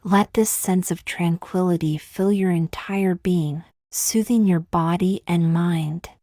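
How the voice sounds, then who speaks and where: Female meditation guide: lowish voice, very unhurried, calm delivery, close dry sound. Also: no echo. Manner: calm, unhurried, gently measured with long pauses. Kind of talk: guided meditation, scripted soothing narration.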